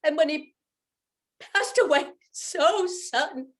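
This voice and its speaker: Older lady acting as tender worried young widow mom, radio soap, high voice. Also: slow pace. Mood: anxious, earnest. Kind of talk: old-time radio drama acting.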